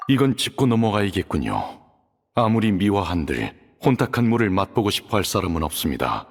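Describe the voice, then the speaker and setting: A man voicing a calm, dignified male judge in a video game, speaking in a polite, grave, formal way. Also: lowish voice, fairly quick. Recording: reverberant game audio with music.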